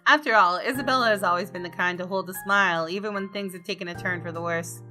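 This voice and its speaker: A woman's voice: on the low side, quick pace. A woman gamer reading a visual novel's story aloud expressively, with casual commentary of her own. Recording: faint game music behind. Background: soft game music.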